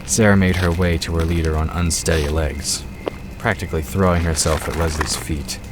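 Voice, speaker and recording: A very low-voiced man narrates a fantasy audio drama. He reads scripted, descriptive story prose, with music clearly playing behind him. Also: fairly even intonation, normal speed.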